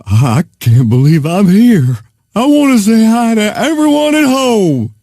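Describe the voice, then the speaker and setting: An actor playing an aggrieved blue-collar factory worker guesting on a talk show in a video game, complaining slowly in an exclamatory whine. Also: male voice, sits high, big pitch swings, dark tone, dry recording, studio quality, exaggerated voice. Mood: starstruck, dopey.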